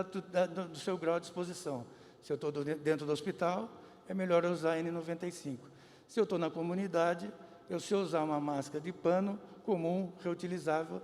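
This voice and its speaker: A man, a health official, explaining in measured answers at a press conference. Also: high-pitched, darkish tone. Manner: explanatory, measured, occasional restarts. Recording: reverberant hall.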